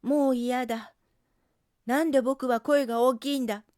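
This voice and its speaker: A woman voicing a rough, sulky young male bear with a loud, gruff delivery for a children's storybook. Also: high-pitched, fairly even intonation, normal speed, no echo, studio quality, natural voice. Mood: frustrated, sulky. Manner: gruff, roaring.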